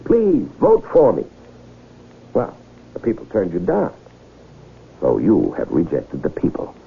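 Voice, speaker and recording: Needling con man, gravelly, wildly swooping intonation, rhetorical questions, dramatic pauses, vintage radio drama, muffled broadcast sound. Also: sits low, huge pitch swings, slight background noise, full character voice. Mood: accusing, theatrical. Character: smooth-talking male swindler, goading and theatrical. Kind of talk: 1970s radio drama acting.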